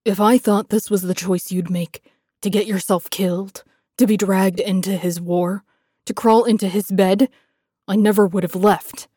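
A proud male lieutenant, acted by a woman narrator in a fantasy audiobook. The delivery is intense and sardonic. The tone is soft and dark, with a close dry sound. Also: medium pitch, fairly even intonation, moderate pace, slightly rough, no room sound, natural voice. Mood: anguished fury. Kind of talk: dramatic fantasy audiobook acting.

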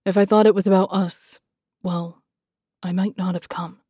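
Lady doing a proud sardonic lieutenant guy in a fantasy audiobook, intense, dark smooth-edged tone, dry recording. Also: fairly low pitch, steady tone, normal speed, no echo. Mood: guarded, earnest. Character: proud, sardonic male lieutenant, former teacher and lover. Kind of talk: dramatic fantasy audiobook acting.

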